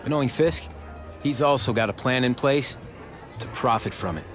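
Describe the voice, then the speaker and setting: A man's voice: medium pitch, normal speed, music in the background, natural voice. An actor playing a young male tech heir, friendly but busy and a bit distracted.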